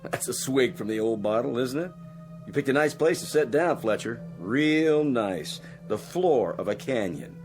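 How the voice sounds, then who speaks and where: Sardonic, animated spaceship co-pilot, acted by a man. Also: mid-range voice, measured pace, over music, close to an everyday voice. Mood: sarcastic, griping. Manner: sarcastic, slangy, complaining. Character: sardonic, griping male spaceship co-pilot, sour and needling. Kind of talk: audio drama acting.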